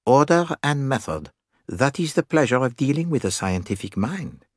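Man as elderly detective, steady, methodical, weary, courteous, husky, expressive pitch, storybook reading. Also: dry recording, clean studio sound. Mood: approving. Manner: steady, measured.

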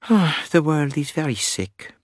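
A man's voice: a little high, no room sound, studio quality, pitched above the performer's own voice. A man playing an elderly detective, courteous, methodical and a little weary, speaking steadily in a story reading. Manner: steady, measured.

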